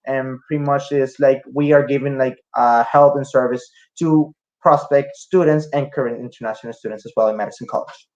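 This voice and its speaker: A man's voice: mid-range voice, steady tone, a little fast, hardly any pauses, a little mellow, highs cut off. A young man from Rica, a student answering interview questions with frequent fillers and restarts. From Costa Rica. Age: young adult. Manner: hesitant, many fillers and restarts. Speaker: student guest.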